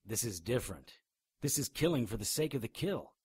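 A sardonic, hard-boiled man leads a radio drama played by a male actor. His delivery is very animated in pitch, though his temper stays grim and wry. He sounds like someone who sizes up every scene. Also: fairly quick, slightly rough, no echo, studio quality. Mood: brooding.

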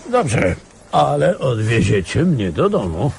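A man's voice: mid-range voice, big pitch swings, slow pace, gravelly, a little hiss or hum, exaggerated voice. A man playing a world-weary, cynical old retired security officer in a radio play, sardonic and patronising. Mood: grudging. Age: older. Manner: sardonic, patronising, chuckling asides. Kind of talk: Polish radio play acting.

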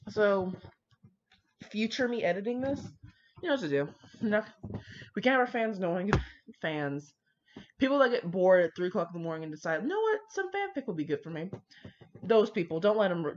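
A woman's voice: on the low side, lo-fi sound, a little hiss or hum. A young woman chatting casually and rambling to her viewers in an irreverent, foul-mouthed, self-mocking manner. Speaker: host of a fan fiction reading channel.

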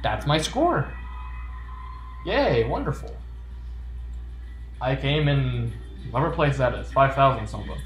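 A man's voice: mid-range voice, sing-song, unhurried, long pauses, slight background noise. A man giving playful, joking commentary while playing a game, reading on-screen text aloud. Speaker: gamer. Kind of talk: let's play commentary. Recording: roomy echo with game music. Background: game music.

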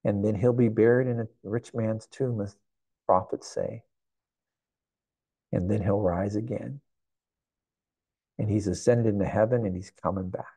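Gravelly low-voiced older man preaching. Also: fairly even intonation, a little slow, pauses often, warm tone, dry recording, poor audio. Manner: earnest, reflective preaching with repetitions. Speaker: pastor. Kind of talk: sermon. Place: indoor church sanctuary.

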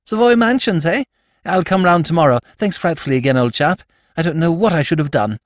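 A flustered, chattering young aristocrat with a reedy, high-pitched voice that rushes and bubbles over itself, put on by a male narrator. The sound is close and dry. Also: lively intonation, no echo, lightly acted. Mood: flustered gushing. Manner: high-pitched, breathless, gushing. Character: prattling weedy young English lord.

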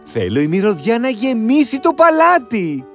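Coaxing young prince, put on by the narrator, lively voice that swoops a lot. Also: male voice, very high voice, faint music behind, pitched above the performer's own voice, full character voice. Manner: coaxing, insistent.

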